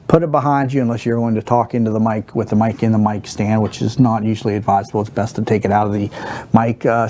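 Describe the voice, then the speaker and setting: A man in his thirties in a studio, teaching stand-up comedy basics in a monotone, rambling way with many fillers and restarts. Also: on the low side, a little hiss or hum. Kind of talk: unscripted talk to camera. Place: studio set with a wooden backdrop, seated at a desk.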